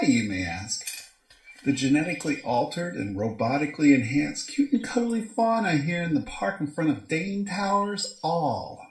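An actor playing a gloating, foul-mouthed scientist in an audio drama, theatrical, mocking and wordy. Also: male voice, on the high side, echoey room, poor audio. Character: gloating, foul-mouthed mad scientist doctor, gadget-proud blackmailer. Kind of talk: modern audio drama acting.

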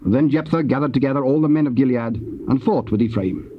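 Guy reading bible narration, solemn n measured, mid voice, dull old tape sound. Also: medium pitch. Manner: measured, formal. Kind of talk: solemn scripted scripture narration. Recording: muffled old cassette, faint hiss.

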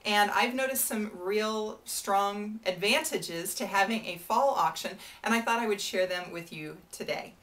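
A woman's voice: mid-range voice, a little slow, few pauses, a bit bright. A woman in her thirties from the United States, a benefit auctioneer giving friendly, chatty advice straight to camera in a small room. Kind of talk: casual to-camera advice talk. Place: small indoor room before a whiteboard.

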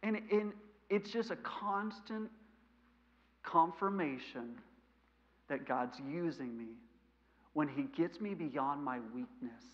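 A man in his thirties with a mild stutter, preaching a sermon in a church sanctuary, animated and gesturing, swinging between loud emphasis and quiet asides. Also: fairly high pitch, takes it slowly, pauses often. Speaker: missionary preacher. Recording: lo-fi church recording.

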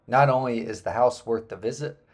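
A man in his forties narrating a travel vlog about a hike, relaxed and explanatory, with frequent filler words. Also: mid-range voice, normal speed. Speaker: travel vlogger. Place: indoor room, then voice-over on hike footage.

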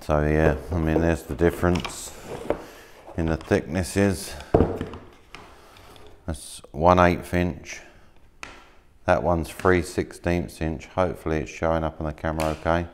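A man in his thirties casually showing materials at a workshop table, talking in a relaxed, rambling way with plenty of fillers and restarts. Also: extremely low pitch, a little slow, pauses often, background noise. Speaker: maker demonstrating laser-cut materials. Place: workshop, indoors, at a work table.

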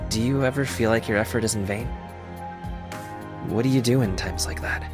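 A man's voice: on the low side, lightly acted. A man voicing an earnest, humble, eager young engineer in a video game, with casual, clipped, sincere phrasing. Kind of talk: scripted game character voice lines.

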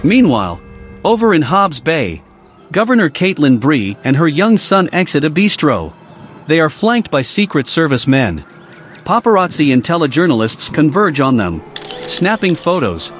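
Animated, higher-pitched male narrator. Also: moderate pace, faint music behind. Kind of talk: dramatic scripted narration of an audio drama.

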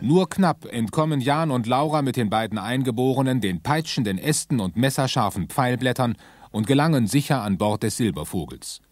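Male narrator for an adventure audio drama: medium pitch, scripted storytelling, dry close sound. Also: lively intonation, moderate pace, darkish tone, no echo. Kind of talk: scripted radio play narration.

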